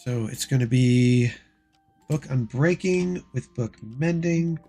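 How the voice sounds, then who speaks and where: Hoarse, dry-voiced male game streamer. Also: mid-range voice, slow pace, warm tone, soft background music. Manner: rambling, explains game steps as he plays. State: hoarse, dry, losing his voice. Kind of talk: casual livestream gameplay chat.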